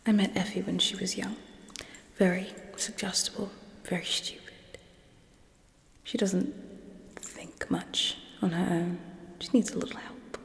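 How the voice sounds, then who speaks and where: A young woman playing a cold, scheming alien queen in an ASMR roleplay, speaking in an imperious, condescending, hushed way. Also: medium pitch, fairly even intonation, a little slow, vocal fry, long pauses. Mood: sly, condescending. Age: young adult. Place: small indoor set with draped backdrop. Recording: echoey reverberant close whisper.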